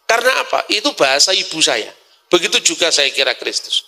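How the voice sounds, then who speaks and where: Animated Javanese-accented man, bright and tense. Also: a little high, fairly quick, creaky. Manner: animated, asks himself questions and answers them; drops into Javanese asides. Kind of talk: lively lecture-style talk.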